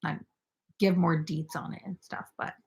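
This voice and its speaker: A woman's voice: low-pitched, fairly even intonation, normal speed, vocal fry. A young woman hosting a casual crochet live stream, chatting with viewers and filled with "like" and "um". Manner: chatty, filler-heavy, reads viewer comments aloud.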